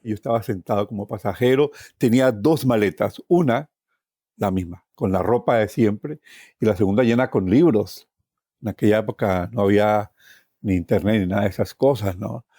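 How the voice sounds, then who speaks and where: A man's voice: on the low side, normal speed, dark tone, husky, no room sound, studio quality. A man from Colombia with a Colombian accent, telling his own life story as a guest. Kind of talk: personal storytelling.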